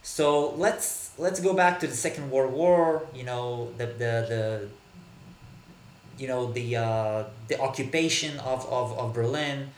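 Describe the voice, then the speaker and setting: A man from Israel, a native Hebrew speaker, answering interview questions in a halting way with many fillers and repeated words. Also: medium pitch, steady tone, reverberant, a little hiss or hum. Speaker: podcast guest, author. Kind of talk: casual interview talk. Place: Berlin.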